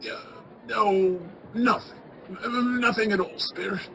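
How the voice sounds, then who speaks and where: A woman playing an anxious, sentimental old male miser in a radio play, stammering and halting with emotion. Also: medium pitch, fairly even intonation, gravelly, fairly bright tone, rough recording. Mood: hesitant, rueful.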